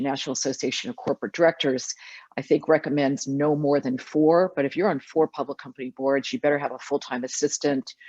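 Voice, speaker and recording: A woman's voice: very deep voice, a little mellow, no room sound. A middle-aged woman speaking frankly as a panelist and board director, with many fillers. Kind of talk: panel discussion. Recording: dry, dull lo-fi audio.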